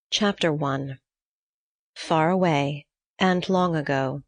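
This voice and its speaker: Female narrator, deep register, very dry close sound, measured storytelling, scripted audiobook reading. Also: no echo.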